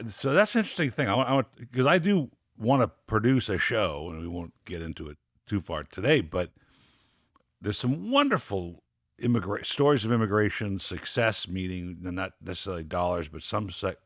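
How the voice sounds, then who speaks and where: A man's voice: low voice, lively intonation, brisk, fairly bright tone, no echo, studio quality. Upbeat middle-aged man hosting. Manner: upbeat, rambling, many restarts. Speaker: podcast host. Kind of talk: casual interview chat. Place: studio.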